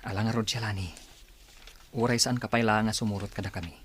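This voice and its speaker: A man's voice: lowish voice, steady tone, a little fast, fairly bright tone, a little hiss or hum, lightly acted. A man playing a persuasive young gang member who coaxes a recruit in an Ilocano radio drama. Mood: coaxing.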